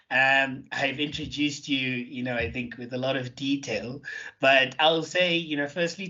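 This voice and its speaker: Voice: medium pitch, dull audio. Effusive podcast host. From Johannesburg, South Africa. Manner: warm, effusive, with many fillers and repeated words. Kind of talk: interview hosting.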